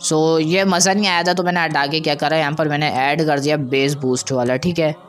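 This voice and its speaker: A man's voice: a little high, fairly even intonation, fairly quick, soft background music. A man presenting an audio editing tutorial in a casual, conversational way, often checking that listeners follow. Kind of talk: informal software tutorial.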